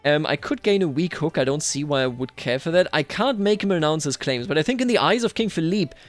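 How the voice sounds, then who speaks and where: A man's voice: fairly high pitch, expressive, fairly quick, soft background music. A man giving casual commentary on a game playthrough, thinking aloud and speaking in character to the people in it. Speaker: host of a gaming series.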